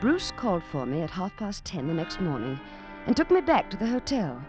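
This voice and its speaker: A woman's voice: fairly low pitch, big pitch swings, brisk. An actress playing a distraught, breathless young woman in an old radio drama, anxious and stammering. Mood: haunted narration. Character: distraught young woman searching for her missing mother. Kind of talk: 1940s-50s radio drama acting with first-person narration. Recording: old radio recording with music behind.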